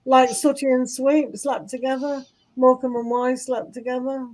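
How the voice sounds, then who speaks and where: A woman's voice: high voice, steady tone, darkish tone, poor audio. A woman, co-hosting a live stream of oracle card readings and chatting in a playful, teasing way. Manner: teasing, playful and quick to laugh. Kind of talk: casual chat on a live stream.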